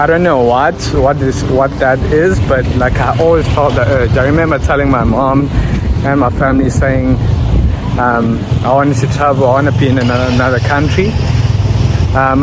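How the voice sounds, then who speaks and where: A young man from South Africa chats to his camera out on a city street, wandering through his thoughts with plenty of restarts and filler words. His voice sits at an ordinary middle pitch. Traffic noise hums clearly behind him. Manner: rambling, many restarts and fillers. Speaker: travel vlogger. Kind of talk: casual vlog talk to camera.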